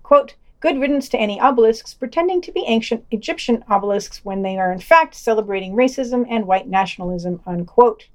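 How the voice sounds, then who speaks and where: A woman's voice: a little high, lively intonation, a little fast, few pauses, a little mellow. A woman reading a scripted news digest and quotes for a podcast, with dry, slightly pedantic wry commentary.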